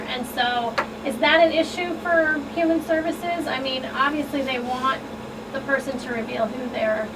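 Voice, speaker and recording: Female talk show host, slightly high voice, conversational questioner, noticeable background noise. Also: distant mic. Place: small radio studio, indoors. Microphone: studio microphone on boom arm. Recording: off-mic, clear background noise.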